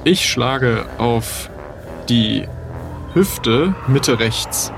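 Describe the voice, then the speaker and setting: A man with a mid-pitched, creaky voice takes part in an improvised adventure game. He announces his moves casually, thinking aloud with hesitation. Soft music sits behind him. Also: lively intonation, extremely slow.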